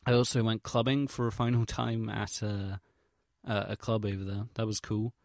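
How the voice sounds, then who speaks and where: A young English man with a fairly low voice talks in a casual audio log, recorded close and dry. He rambles hesitantly through his recollections, using slangy, sweary, self-deprecating wording. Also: flat delivery, moderate pace, gravelly, dry recording. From England. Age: young adult. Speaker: vlogger.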